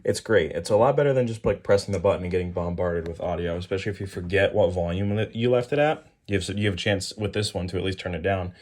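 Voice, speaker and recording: A man giving a casual show-and-tell product rundown as a hobbyist video host, informal and somewhat halting. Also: low-pitched, a little mellow. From New England, United States.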